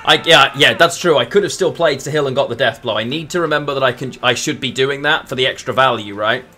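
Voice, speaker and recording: Bright-voiced English man streaming a game. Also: mid-range voice, fast talker. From England. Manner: thinks aloud, mutters fillers, answers chat. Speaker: streamer playing a card game. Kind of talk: casual live-stream chat while playing.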